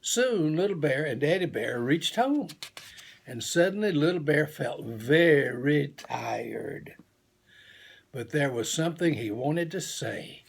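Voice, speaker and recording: A man reading a children's storybook aloud in an expressive, homely storytelling manner. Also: on the high side, slow, gravelly, breathy edge. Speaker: storybook reader.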